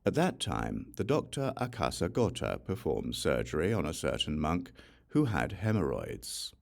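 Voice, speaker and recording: A man reading a religious text as scripted audiobook narration in a measured, deliberate way. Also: low-pitched, big pitch swings, creaky. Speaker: audiobook reader.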